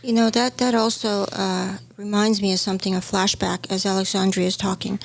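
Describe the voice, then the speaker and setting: A woman's voice: medium pitch, level tone, fairly quick, creaky. Hong Kong-born woman telling her story. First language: Chinese. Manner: storytelling, warm reminiscing. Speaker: podcast co-host, stylist. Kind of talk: casual chat.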